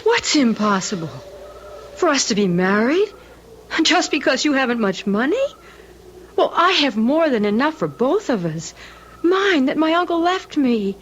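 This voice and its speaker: A woman playing a naive, tender young sweetheart in an old-time radio drama, breathy and effusive. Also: high voice, sing-song, a little slow. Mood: tender, adoring. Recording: dull, noisy vintage radio recording.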